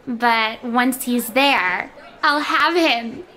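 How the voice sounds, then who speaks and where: Lovesick female cartoon chicken: high, unhurried, dreamy with a sinister streak. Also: sharp tone, exaggerated voice, poor audio. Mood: sly, possessive. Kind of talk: animated game cutscene acting.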